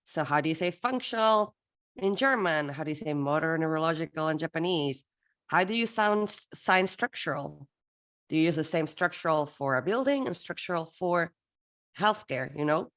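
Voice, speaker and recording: A woman from Peru presenting a workshop for interpreters, lively and playful, acting out little dialogues as she teaches. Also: low voice, moderate pace.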